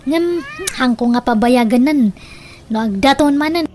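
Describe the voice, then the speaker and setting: A woman with an Ilocano accent playing a feisty, stubborn, lovestruck young village woman in a comedy radio drama, animated and emphatic. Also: sits high, normal speed, slight background noise, close to an everyday voice. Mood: giddy, boastful.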